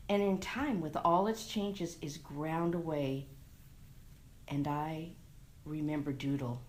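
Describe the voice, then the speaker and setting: A woman giving a dramatic reading of a literary short story, expressive and measured, recorded on a lavalier mic. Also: on the low side. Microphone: lavalier microphone into a phone.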